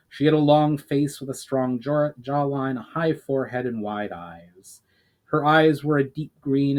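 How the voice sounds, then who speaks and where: A man's voice: medium pitch, darkish tone. Man reading fantasy story aloud dramatically. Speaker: author reading own book, channel host. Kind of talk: dramatic audiobook-style narration.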